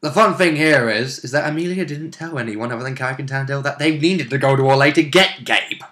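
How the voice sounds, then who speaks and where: A man with a bright, forward voice at a middling pitch chats about a tabletop role-playing campaign. He plays the co-host, adding wry, jokey comments in a casual way. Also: fairly quick. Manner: jokey, chuckling, wry interjections. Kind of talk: casual chat.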